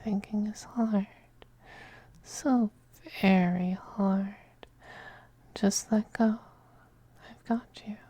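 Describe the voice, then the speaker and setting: A performer as seductive male femboy vampire, dark mellow breathy whisper, possessive, teasing, intimate ASMR roleplay. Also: medium pitch, steady tone, dry recording. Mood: hypnotic, soothing. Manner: slow, teasing, gently domineering coaxing.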